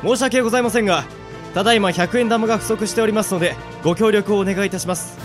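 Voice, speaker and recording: A man's voice: high-pitched, very fast, music in the background, close to an everyday voice. A man playing a listless, whiny young cake seller in a radio drama. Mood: polite, selling. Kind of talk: amateur Japanese radio drama acting.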